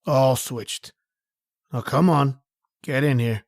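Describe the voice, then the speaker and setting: A man's voice: medium pitch, slow, creaky. Guy playing an ominous friendly stranger in an old radio horror show, casual and folksy but pushy, mid voice. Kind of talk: old-time radio horror drama acting.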